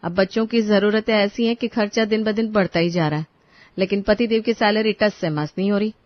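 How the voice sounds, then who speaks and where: A woman voicing a worried middle-class mother in an animated story, talking in an anxious, fretful way. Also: mid-range voice, a little fast, dry recording, natural voice. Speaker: voice actor. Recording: dull, dry studio recording.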